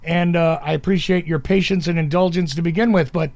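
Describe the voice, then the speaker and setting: Male podcast host, gravelly and a touch high, emphatic and rambling, dry close mic. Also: steady tone, a little fast, darkish tone, no echo. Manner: rambling, emphatic, self-interrupting. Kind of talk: conversational interview.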